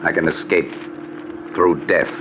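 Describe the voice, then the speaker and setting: A man's voice: low-pitched, fairly even intonation, measured pace, noisy background. An actor playing a haunted, desperate man confessing to his doctor in an intense 1940s radio drama style, on a muffled old recording. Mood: bitter.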